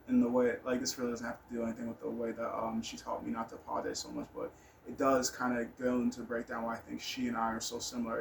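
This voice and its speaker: A man's voice: mid-range voice, vocal fry, rough recording. A man telling personal stories in a casual, rambling monologue, blunt and candid, with some swearing. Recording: noticeable background noise.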